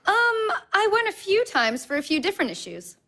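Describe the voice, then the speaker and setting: A woman playing an earnest young woman, high voice. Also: huge pitch swings, a little fast, bright, forceful tone, exaggerated voice. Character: guarded, earnest young woman on a blind date. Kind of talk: audio play acting.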